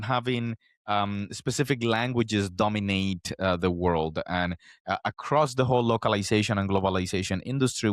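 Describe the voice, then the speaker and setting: A man's voice: fairly low pitch, no echo, clean studio sound. A man hosting an interview, talking thoughtfully with a few fillers. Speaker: interview host. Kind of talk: conversational interview.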